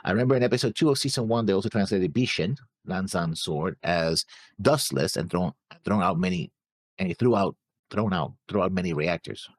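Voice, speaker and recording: A man co-hosting a casual reaction show, reading comments aloud haltingly and stumbling over names. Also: low voice, animated, no room sound, studio quality.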